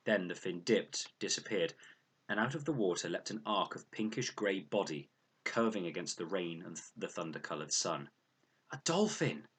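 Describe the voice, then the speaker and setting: A man narrates a storybook adventure expressively. His voice sits low, with a bright, forceful tone and a husky grain. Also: normal speed. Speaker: audiobook reader.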